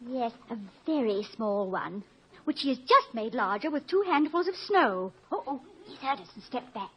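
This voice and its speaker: Woman acting a fondly teasing wife, highish voice. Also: expressive, normal speed, natural voice. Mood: supportive. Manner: gently teasing, supportive. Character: affectionate, teasing wife of a college president. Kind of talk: 1950s radio comedy acting. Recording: muffled old radio recording, faint crowd and noise.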